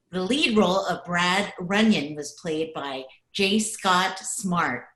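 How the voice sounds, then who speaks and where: An echoey room recording of a woman announcer with a fairly low voice. She reads a scripted old-time radio introduction at a slow, measured pace. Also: fairly bright tone. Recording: roomy video-call recording.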